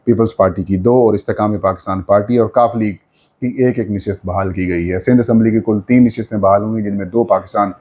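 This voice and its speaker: A man with a Pakistani accent and a fairly low voice delivers political news commentary. He speaks without a script, laying out details in an explanatory way. His phrases come in pieces, with frequent pauses as he goes. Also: fairly even intonation, darkish tone.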